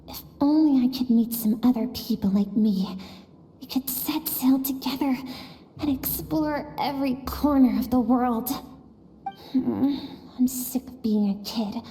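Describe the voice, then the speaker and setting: A woman's voice: high voice, mellow, in a large hall, a little hiss or hum. A woman voicing a curious, adventurous young girl in a video game, earnest and wide-eyed.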